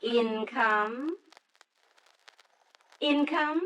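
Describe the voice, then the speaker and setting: A woman acting a businesslike, courteous adoption caseworker in a 1940s-style radio drama, formal and measured. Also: high voice, a bit bright, full character voice. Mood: brisk, official. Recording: noisy old radio drama recording.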